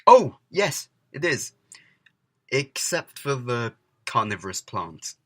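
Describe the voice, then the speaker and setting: A man playing a distracted, wry, brooding time traveller in an audio drama, speaking haltingly. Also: mid-range voice, very animated. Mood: wry exasperation. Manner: halting, hesitant, full of uhs.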